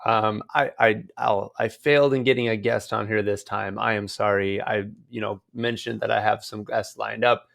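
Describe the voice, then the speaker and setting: A man hosting a solo real estate podcast in a casual, rambling way with many fillers, his voice hoarse as it recovers. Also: fairly low pitch, fairly even intonation, normal speed, few pauses, fairly bright tone. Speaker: podcast host.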